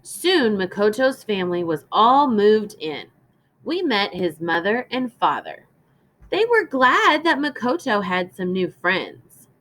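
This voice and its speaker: A woman reads to young children as a teacher would in a lesson. Her pitch moves up and down in a lively way, and she takes her time with each phrase. The delivery is deliberate and instructive. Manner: instructive, deliberate, prompting listeners with questions. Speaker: teacher reading a story aloud. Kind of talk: read-aloud lesson for young children with explanations and questions.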